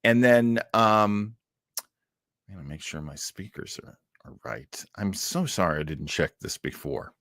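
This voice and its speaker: A man's voice: sits low, expressive, a little slow, a bit bright, no echo. Casual, self-deprecating man hosting, dry sound. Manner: self-deprecating, rambling, easily amused. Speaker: host of an old-time radio livestream. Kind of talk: casual, chatty livestream talk.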